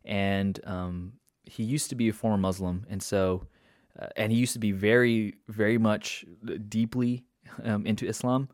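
Low-voiced Indonesian-born man, rambling chat. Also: fairly even intonation, moderate pace, a little mellow, no room sound, studio quality. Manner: rambling storyteller, many fillers and restarts, laughs often. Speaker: guest. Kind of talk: casual conversation.

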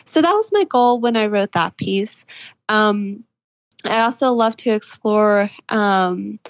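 A woman's voice: medium pitch, expressive, takes it slowly, no room sound. A twenty-year-old woman answering interview questions about her work as an author in an earnest, reflective way. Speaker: interview guest, fiction author.

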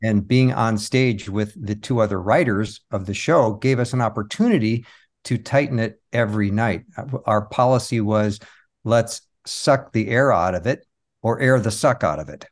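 A man's voice: on the low side, moderate pace. A man telling anecdotes casually as an interview guest. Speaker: interview guest, magician and comedy performer.

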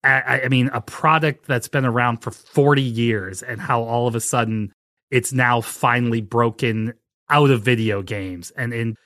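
A man in his forties hosts a podcast in a relaxed, rambling way. He tells a long story and often goes back to restart his sentences. His voice sits at an ordinary pitch, and the recording is close and dry, with no room sound. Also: moderate pace, no room sound. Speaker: podcast host. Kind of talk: casual podcast chat.

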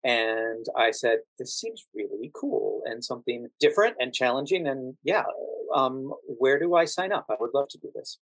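A man's voice: mid-range voice, expressive, normal speed, no room sound, low-quality recording. A middle-aged man from the United States speaking as a podcast guest, explaining and telling anecdotes conversationally. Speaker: podcast guest, actor and standardized patient.

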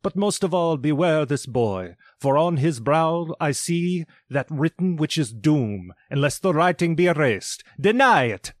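A man voicing a sorrowful, stern male ghost who loudly declaims warnings. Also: on the high side, expressive, unhurried, few pauses, no room sound, clean studio sound, voice pushed up.

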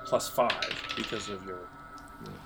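A man narrating an improvised tabletop role-playing game as game master, often halting as he thinks aloud. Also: lowish voice, steady tone, creaky, bright, forceful tone. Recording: music playing under the voice.

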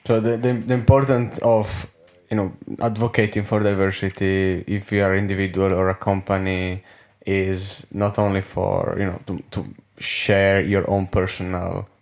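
A man's voice: deep voice, flat delivery, moderate pace, hardly any pauses. A man interviewing a guest, searching for words and rephrasing his questions as he goes.

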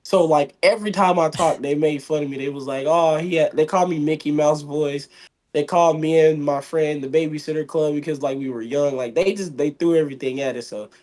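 A man's voice: on the high side, fairly even intonation, fairly quick, darkish tone. A young man in his twenties from Mississippi with a light voice, talking in a rambling way as a wrestler being interviewed. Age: 20s. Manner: rambling, many fillers and restarts. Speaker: guest, pro wrestler. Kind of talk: casual interview.

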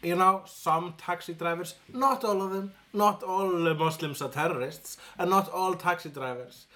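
A man from Iceland with an Icelandic accent, co-hosting a podcast in casual chat, with a dry, deadpan humor and halting restarts. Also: high voice. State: recovering from a cold. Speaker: podcast co-host.

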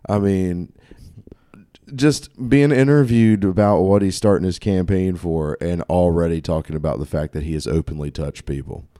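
A congested man with a deep, dark voice rambles through a story, swearing freely and laughing at his own jokes. The sound is close and dry, with no room around it. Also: moderate pace, no echo. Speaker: podcast co-host. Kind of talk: casual profane banter.

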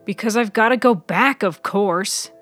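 A woman voicing a weary, frail, troubled woman in a hospital bed, halting and strained, in a story podcast. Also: mid-range voice, fairly bright tone, no echo, soft background music, voice pushed up. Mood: strained, insistent. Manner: halting, strained, sometimes harsh. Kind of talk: serial fiction audio storytelling.

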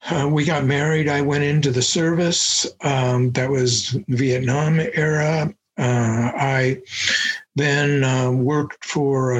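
Older man, husky and halting. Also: mid-range voice, rough recording. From Los Angeles, United States. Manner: reflective, halting with many fillers. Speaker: guest, professor emeritus. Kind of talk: conversational interview.

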